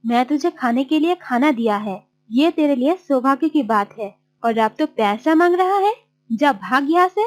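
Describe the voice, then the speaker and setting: A woman's voice: sits high, expressive, normal speed. A woman voicing a stingy, bossy village aunt in a children's cartoon, haughty and scolding. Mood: scornful, scolding. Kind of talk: children's cartoon story voice acting.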